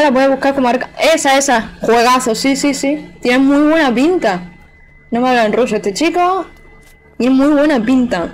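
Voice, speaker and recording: A woman's voice: on the high side, a little hiss or hum, low-quality recording. Chatty woman streaming a game. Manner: chatty, playful, talks to chat and the game. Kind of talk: casual live gameplay commentary.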